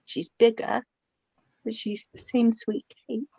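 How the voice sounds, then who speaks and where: A woman playing a gentle, flustered middle-aged widowed mother in a radio drama, halting and repeating her words. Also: a little high, flat delivery, gravelly, slightly rough, no echo, highs cut off, full character voice. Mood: fond, nostalgic. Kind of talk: naturalistic radio drama dialogue.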